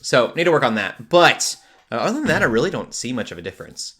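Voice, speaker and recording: A man's voice: medium pitch, big pitch swings, quick pace, a bit bright. A man co-hosting a podcast in casual gaming chat, rambling and hesitant as he thinks aloud. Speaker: podcast co-host.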